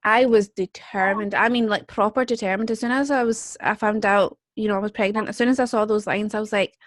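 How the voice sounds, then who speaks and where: A woman's voice: medium pitch, steady tone, a little fast, no room sound, clean studio sound. A woman, hosting a casual group chat with other young mothers and talking emphatically. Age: 20s. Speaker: host.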